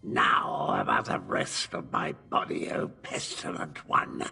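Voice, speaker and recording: A man voicing an undead severed head of a mad, boastful knight in a video game, gravelly, theatrical and mock-polite. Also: extremely low pitch, wildly swooping pitch, slow, bright, forceful tone, soft background music, exaggerated voice. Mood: mock-polite, morbid. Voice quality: gravelly, raspy. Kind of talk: video game character acting.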